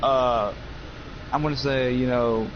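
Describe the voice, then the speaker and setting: A man's voice: mid-range voice, fairly even intonation, slow pace. An eighteen-year-old man chatting casually as a gaming co-host, earnest and emphatic, often repeating himself. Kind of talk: casual chat over gameplay. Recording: noisy, muffled lo-fi mic.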